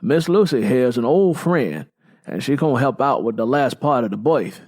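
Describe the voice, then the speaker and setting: A man's voice: medium pitch, lively intonation, normal speed, darkish tone. Man voicing an assured older country woman. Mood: encouraging. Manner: folksy, assured. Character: older, take-charge, nurturing country woman.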